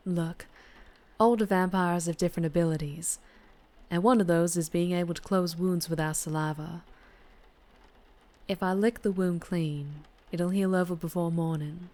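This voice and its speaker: A woman playing a flirtatious, protective vampire biker in an ASMR roleplay, with a Southern US accent and a soft, intimate delivery that slips into whispers. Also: on the low side, natural voice. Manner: soft, intimate, often dropping into breathy whispers.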